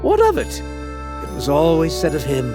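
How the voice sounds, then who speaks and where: An animated storyteller over music. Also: male voice, on the high side, a little slow. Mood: fond, storytelling. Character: genial male theater storyteller, wistful and kindly. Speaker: narrator. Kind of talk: storybook narration in a radio play.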